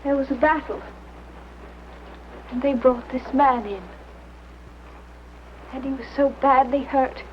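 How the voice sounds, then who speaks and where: An actress playing a young librarian, frightened and shaken, speaking slowly and anxiously. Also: female voice, sits high, steady tone, slight background noise. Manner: slow, anxious. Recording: dull old film sound.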